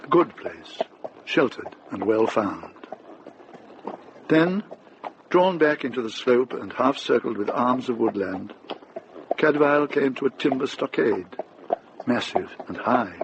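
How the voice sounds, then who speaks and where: A man's voice: mid-range voice, expressive, slow pace, long pauses. Male narrator for radio drama, literary scripted read in a medium register, on a noisy, muffled recording. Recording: dull recording with clear noise.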